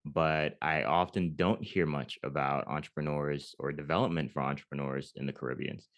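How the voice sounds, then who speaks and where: A man's voice: a bass voice, fairly even intonation, moderate pace. A young man from Jamaica hosting an interview, affirming his guest with enthusiasm. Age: young adult. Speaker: podcast host.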